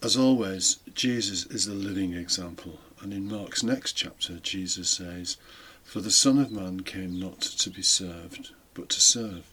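A man's voice: low-pitched, a little slow, few pauses, sharp tone, slightly rough, poor audio. A British man giving an informal, reflective homily, explaining things with conversational asides. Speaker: homily podcast host, deacon. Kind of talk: informal homily, partly scripted. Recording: faint background noise.

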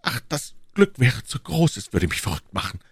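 A man's voice: medium pitch, very animated, normal speed, breathy edge, dry recording, studio quality, voice pushed up, full character voice. A man voicing a passionate, lovestruck English duke from a classic novel, pleading and fatalistic in an ardent, courtly, declamatory manner.

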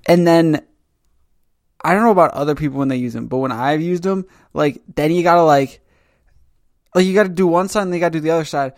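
Teasing higher-voiced man on a podcast. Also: fast talker, long pauses, no room sound, studio quality. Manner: teasing, animated, joking. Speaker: podcast host, comic creator. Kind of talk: casual chat.

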